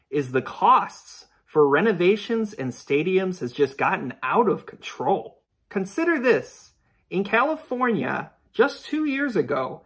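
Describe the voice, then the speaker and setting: A young man in his twenties giving blunt, opinionated sports commentary to the camera from a room at home. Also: fairly high pitch. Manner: blunt, dismissive, rhetorical questions. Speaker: sports video host.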